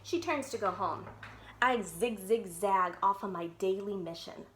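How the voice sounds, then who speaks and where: Female, bright medium-pitched voice. Expressive children's read-aloud, animated and clearly enunciated. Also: measured pace. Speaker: librarian reading aloud to children.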